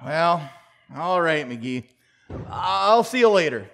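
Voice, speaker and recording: A slow, high and very melodic male voice performed by a man plays a pompous neighbor in an old-time radio comedy. He hems and draws out his words with gullible, indignant pride. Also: big pitch swings, vocal fry, a bit bright, exaggerated voice. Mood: hesitant. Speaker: actor in community theater radio play.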